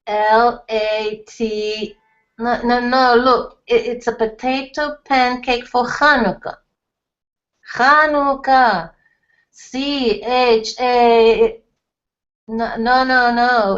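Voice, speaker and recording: A woman's voice: on the high side, slow. A woman voicing a harried middle-aged father, placating and blustering, on a slightly dull lo-fi recording. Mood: exasperated yelling. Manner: placating, then yelling with exasperation.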